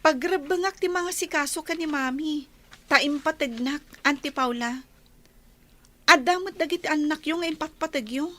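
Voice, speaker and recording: An actress playing a devoted, anxious young daughter, respectful and pleading, in a radio drama. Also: female voice, high voice, normal speed, a bit bright. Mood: worried, insistent. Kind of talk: Ilocano radio drama acting.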